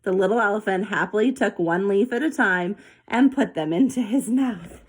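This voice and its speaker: Woman reading a kids book out loud, medium pitch, animated and expressive storytelling. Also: moderate pace, husky. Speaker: storybook reader. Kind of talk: children's story read aloud.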